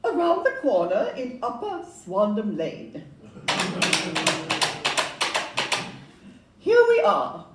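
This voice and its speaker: A woman's voice: a little high, wildly swooping pitch, unhurried. An actress playing a polite, upbeat Victorian London estate agent in a stage audio play, genteel and salesmanlike as she glosses over flaws. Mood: upbeat pitching. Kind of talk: audio-play acting before an audience. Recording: roomy, distant live pickup, faint noise.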